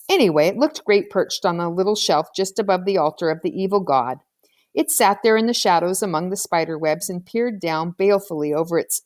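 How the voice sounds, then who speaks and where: A woman's voice: medium pitch, a little mellow. A woman reading a children's novel aloud as scripted audiobook narration. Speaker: audiobook reader.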